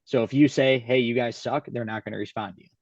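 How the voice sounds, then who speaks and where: A man from Michigan, a guest basketball coach on a podcast, explaining his views in a calm, agreeable way. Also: medium pitch, quick pace, dry recording, muffled recording. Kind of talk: casual podcast chat.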